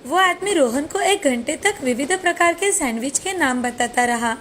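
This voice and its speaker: A woman's voice: high voice, lively intonation, normal speed, a bit bright, slight background noise. A woman storyteller reading a scripted moral tale as narrator. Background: faint background music.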